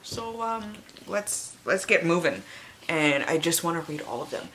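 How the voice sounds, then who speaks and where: Low voiced woman late 20s doing a chatty book vlog, sweary and rambly, some background noise. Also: animated, unhurried, a bit bright, poor audio. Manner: candid, casually sweary, self-deprecating, rambling asides. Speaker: book reviewer and vlogger.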